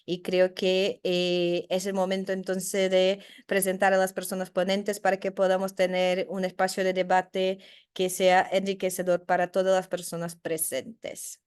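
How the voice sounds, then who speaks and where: A woman's voice: fairly low pitch, completely flat delivery, measured pace, a little mellow, clean tone. A woman moderating an online session, introducing the guest speakers by reading their bios. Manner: reads speaker bios formally. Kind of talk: webinar moderation.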